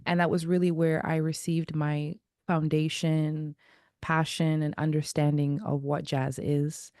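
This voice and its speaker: Low-voiced woman, dry close sound. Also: flat delivery, a little slow, darkish tone, no room sound. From Zimbabwe. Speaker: guest jazz vocalist. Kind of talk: casual interview.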